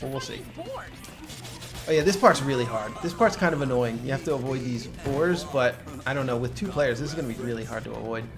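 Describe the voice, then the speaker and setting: A man's voice: mid-range voice, brisk. A man giving casual, explanatory commentary on a co-op video game, feeling his way through his sentences. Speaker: gaming video host. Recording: game music behind the voice. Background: game music.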